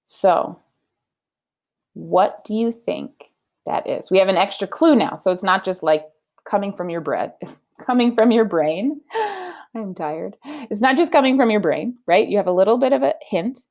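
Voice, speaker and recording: A woman's voice: medium pitch, unhurried, creaky, pauses often. A woman in her thirties, a teacher, reading a storybook aloud to children at home and explaining reading skills in a teacherly way. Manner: warm teacherly, explaining and questioning. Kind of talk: children's read-aloud lesson. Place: home room.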